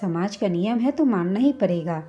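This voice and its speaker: A woman voicing a meek, long-suffering wife and mother in a told story, speaking gently and dutifully. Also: mid-range voice, lively intonation, normal speed, lo-fi sound, faint music behind, lightly acted. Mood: gently urging. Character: meek, long-suffering daughter-in-law, later a cautious widowed mother.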